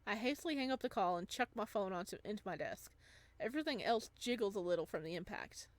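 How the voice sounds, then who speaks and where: A woman reading a visual novel aloud as a let's-play host, with expressive narration and casual asides. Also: medium pitch, fast talker, no room sound.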